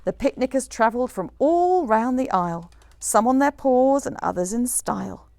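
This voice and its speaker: Woman author reading a kids rhyming story, really lively up and down pitch, bit of creak, dry close sound. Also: moderate pace, dry recording. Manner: animated, rhythmic rhyming delivery. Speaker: author reading own picture book. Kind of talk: expressive children's storybook read-aloud.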